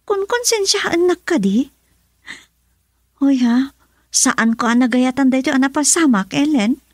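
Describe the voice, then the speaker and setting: A woman acting a troubled, secretive young woman in a radio drama, breathy and hesitant. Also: high-pitched, lively intonation, measured pace, no room sound, clean studio sound. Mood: pleading, defensive.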